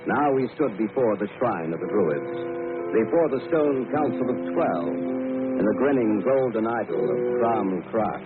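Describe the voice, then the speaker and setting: An actor playing a grave, level-headed adventurer, narrating and speaking in a measured way in an old radio drama. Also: male voice, on the low side, expressive. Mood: narrating tensely. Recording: noisy, tinny old radio recording.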